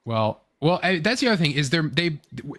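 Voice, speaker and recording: A man's voice: on the high side, sing-song, a bit bright. Rambling young man hosting a fan chat. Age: young adult. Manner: rambling, halting, fragmented phrasing. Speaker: online show host. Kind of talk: casual fan commentary, reading chat comments.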